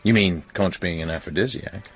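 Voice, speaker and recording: An actor playing a suave, probing British secret agent in a radio drama, husky and gravelly, with a cool, dry, flirtatious manner. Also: male voice, low voice, normal speed, soft background music.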